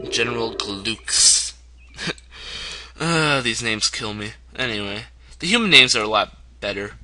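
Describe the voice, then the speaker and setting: A young man with a hoarse voice, casually commenting on a strategy game he is playing at home, rambling and chuckling often. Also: mid-range voice, slow, long pauses. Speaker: let's play gamer. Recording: steady background noise.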